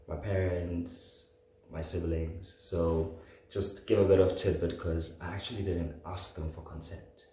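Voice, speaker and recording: Deep-voiced young man, very echoey room. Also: dark tone. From southern Africa, born in Zambia. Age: late 20s. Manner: informal, conversational, often says "like". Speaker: podcast host. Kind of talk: casual solo podcast storytelling.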